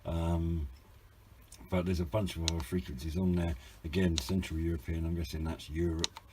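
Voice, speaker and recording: British male hobby reviewer, low-pitched, hesitant and understated, some noise in the recording. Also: flat delivery, normal speed. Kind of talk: informal unboxing and review narration.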